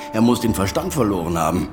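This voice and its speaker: Complicit uneasy judge, radio play, deep bass voice, music behind. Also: male voice, big pitch swings, moderate pace. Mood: uneasy, dry. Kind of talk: radio drama acting.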